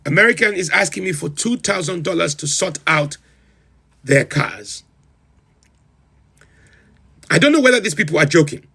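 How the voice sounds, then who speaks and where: A man's voice: a little high, lively intonation, moderate pace, gravelly, pauses often, pushed and bright, husky, exaggerated voice. A man playing a pompous self-styled president of a comic republic, who hosts a livestream and reels off long lists in theatrical disbelief. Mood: bemused. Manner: incredulous, theatrical, lists items with rising emphasis. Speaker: livestream host. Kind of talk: comedic in-character livestream rant.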